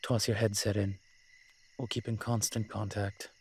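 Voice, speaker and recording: A man's voice: on the low side, level tone, moderate pace, a little hiss or hum, natural voice. A man playing a roguish incubus demon in a roleplay audio drama, talking in a sly, teasing, conversational way. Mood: playful, confident. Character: smooth-talking roguish male incubus demon, playful and scheming.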